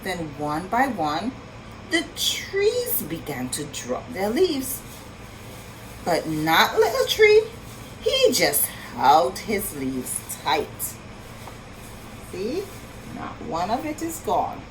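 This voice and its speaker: A woman reading a story aloud for young children in an expressive, sing-song way, pausing to ask her listeners questions. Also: medium pitch, background noise. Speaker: children's storytime reader and teacher.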